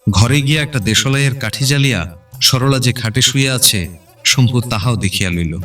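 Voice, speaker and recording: A man's voice: medium pitch, normal speed, pushed and bright. Male story narrator, slightly distant, over music. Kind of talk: dramatic scripted literary narration. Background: background instrumental music.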